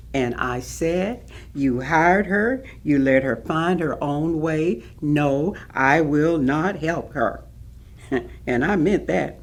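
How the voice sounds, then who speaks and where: A woman actor playing a proud, firm administrator recalling her career in a staged reading, measured and matter-of-fact. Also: low voice, heavily acted. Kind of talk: staged reading of oral history.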